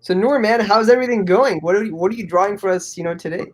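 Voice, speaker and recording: A man's voice: high voice, fast talker. A man co-hosting a casual video call, asking friendly questions with lots of fillers. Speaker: co-host.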